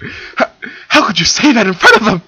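A man voicing a shrill fiery young mare. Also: very animated, unhurried, fairly bright tone, slightly rough, full character voice. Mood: indignant. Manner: shrill rising outbursts. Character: young female pony mare, emotional and fiery.

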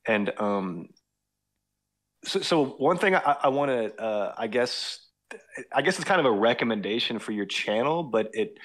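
A man's voice: mid-range voice, animated, a little slow, a bit bright. A man calling in to a talk show, speaking hesitantly and searching for his words. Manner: hesitant, lots of fillers and restarts, searching for words. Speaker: caller. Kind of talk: casual phone-in conversation.

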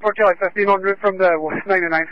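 A man's voice: sits high, fairly even intonation, very fast, no room sound. A man working as a police officer, calling in his route over the radio. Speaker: responding officer. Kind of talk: radio traffic. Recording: lo-fi dry radio transmission.

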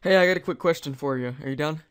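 A young adult man making a prank phone call in a deadpan, hesitant, mock-earnest way. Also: fairly high pitch, fast talker, smooth. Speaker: prank caller. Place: voice call over computer.

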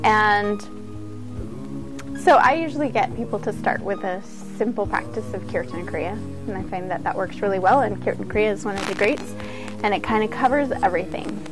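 A woman's voice: medium pitch, moderate pace, music in the background, poor audio. A woman giving an informal instructional talk as a yoga teacher, conversational and earnest.

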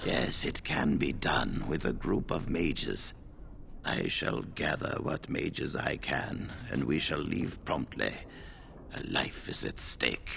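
An actor playing a weary, grave old mage leader in a fantasy game, speaking in a formal, measured way with a low gravelly voice. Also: male voice, lively intonation, a bit bright, slight background noise, exaggerated voice. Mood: grave, deliberate.

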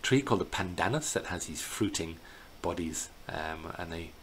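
Middle-aged male tour guide, very low voice, informal slideshow narration with hesitant phrasing, faint noise on the recording. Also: expressive, normal speed, fairly bright tone. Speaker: bird tour guide presenting a virtual tour. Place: indoors in a small plain room. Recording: clear background noise.

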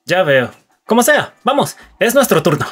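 A man playing a cheerful, coaxing teenage boy in an anime fandub, with a husky, gravelly voice and a Latin American accent. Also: high voice, very animated, normal speed, fairly bright tone, faint music behind, exaggerated voice. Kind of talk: anime comic fandub acting.

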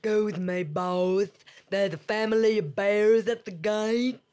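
A woman's voice: mid-range voice, a little slow, darkish tone, clear, resonant tone, close to an everyday voice. A woman playing a dutiful underling in a live comic melodrama, acted like a radio play on stage. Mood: deadpan. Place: small fringe theatre stage, indoors. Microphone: stand microphone.

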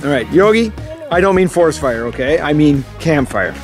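A man's voice: a little high, lively intonation, moderate pace, faint music behind. A man in his forties narrating a casual family camping vlog outdoors, joking and playful, holding the camera at arm's length. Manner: jokey, playful. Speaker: vlogger dad. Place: outdoor wooded campsite. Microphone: handheld camera at arm's length.

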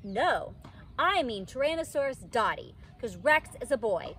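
A woman's voice: a little high, sing-song, measured pace, fairly bright tone, full character voice. A woman voicing a stubborn, chatty little kindergarten girl in a storybook, blunt and childlike in manner. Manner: blunt, indignant, childish grammar. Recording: outdoor background noise.

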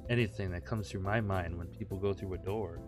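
A man with a fairly low voice reads game text with mock drama, then drops into jokey commentary. He riffs on the lines as he goes, and music plays clearly behind him. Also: steady tone, a little fast. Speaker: gaming video host reading a visual novel. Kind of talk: comic read-aloud of game text with joking asides. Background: loud background music.

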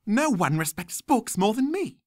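A man voicing a bookish, bossy young schoolgirl witch with a prim, brisk, lecturing manner. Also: high-pitched, big pitch swings, a little mellow, no echo, studio quality, pitched above the performer's own voice. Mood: indignant.

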